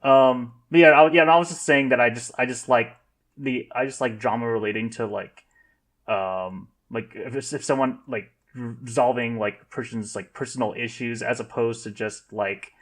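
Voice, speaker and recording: A man with a medium-pitched voice, a guest in a casual podcast discussion. He feels his way through each thought in halting, rambling phrases packed with filler words. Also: fairly even intonation, fairly bright tone. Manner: rambling, halting, heavy use of "like".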